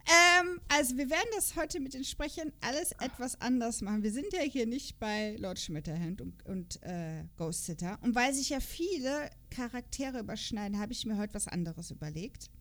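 A woman's voice: fairly high pitch, expressive, moderate pace, few pauses, fairly bright tone. A woman hosting a podcast in casual chat about audio dramas, talking in a chatty, self-deprecating way. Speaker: podcast host.

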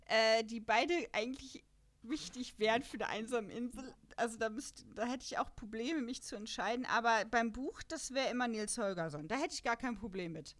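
High-voiced, chatty woman. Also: moderate pace. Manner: animated, rambling, giggly. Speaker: podcast co-host. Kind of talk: casual chat.

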